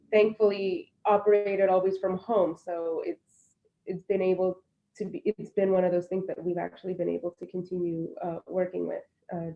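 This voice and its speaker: A woman in her thirties with a fairly low voice speaks in an earnest, reflective, unhurried way. The sound is slightly dull and lo-fi, as on a video call. She comes across as a panelist sharing her story. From born in Puerto Rico, raised in Florida, US. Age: 30s. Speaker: panelist, alumna. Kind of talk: casual panel talk.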